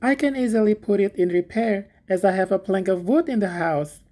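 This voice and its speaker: A humble young male gardener from a storybook, high and eager, played by a woman reader. He sounds grateful and meek. The underlying voice stays mellow and soft. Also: lively intonation, brisk, close to an everyday voice. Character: small kind poor young male gardener. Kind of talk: storybook reading.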